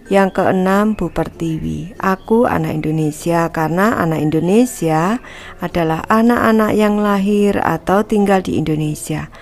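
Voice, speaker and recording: Woman teacher with a low voice. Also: unhurried, a little mellow, faint music behind. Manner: calls herself Ibu and addresses the class as anak-anak. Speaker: primary school teacher. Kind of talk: reading and explaining a textbook lesson to children.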